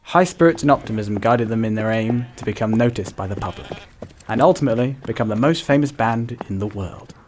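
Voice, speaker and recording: A man's voice: mid-range voice, brisk, a little hiss or hum, natural voice. A man narrating a scripted animal tale as a storyteller. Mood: measured storytelling.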